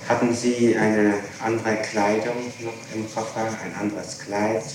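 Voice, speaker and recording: A man with a fairly low, flat voice puts short, insistent questions in a formal, matter-of-fact interrogation. The old tape is noisy and heavy with room echo. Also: unhurried. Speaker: police interrogator.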